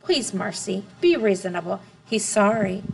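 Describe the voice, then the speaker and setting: A woman reading aloud, voicing a weary mother who speaks gently and pleads. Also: medium pitch, animated, moderate pace, creaky, poor audio. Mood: pleading.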